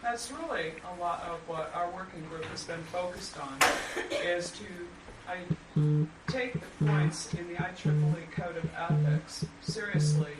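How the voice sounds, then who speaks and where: A panelist speaking in a measured, deliberate way at a roundtable discussion. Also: female voice, low voice. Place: conference room. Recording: echoey room, distant mic, loud background noise.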